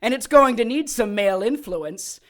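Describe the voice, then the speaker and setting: A woman's voice: fairly high pitch, lively intonation, moderate pace, close to an everyday voice. A woman playing a scheming, megalomaniac male sorcerer villain in an audio drama, theatrical and taunting. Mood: gloating, scheming.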